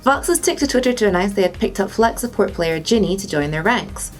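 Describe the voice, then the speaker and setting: A woman's voice: mid-range voice, lively intonation, a little fast, faint music behind. A woman presenter reading scripted gaming and esports news.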